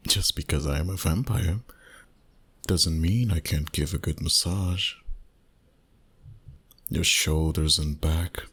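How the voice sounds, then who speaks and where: A man voicing a flirty, dominant vampire boss in an intimate, teasing ASMR roleplay, soft and breathy. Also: low voice, heavily acted. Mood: soothing, seductive. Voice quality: breathy, husky, often near a whisper. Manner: slow, soft, teasing seduction.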